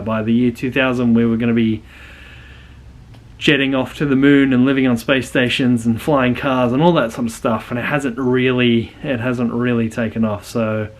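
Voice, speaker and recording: A young adult man with an Australian accent, introducing his channel in a rambling, earnest way with many restarts. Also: mid-range voice. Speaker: channel host. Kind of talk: casual talking-to-camera channel intro.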